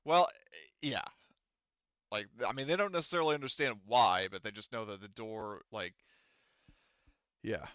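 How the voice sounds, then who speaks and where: Smooth-voiced man running a tabletop game. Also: lowish voice, a bit bright, dry recording, highs cut off. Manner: halting, informal, explains with many asides. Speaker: game master. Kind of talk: casual narrating and rules talk for an online tabletop roleplaying game.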